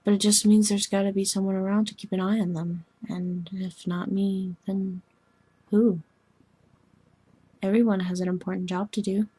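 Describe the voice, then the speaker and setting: A woman voicing a formal, gently meddling young alien woman in scripted dialogue, speaking in a measured, precise, deadpan way. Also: mid-range voice, husky, close to an everyday voice. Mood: calm, earnest.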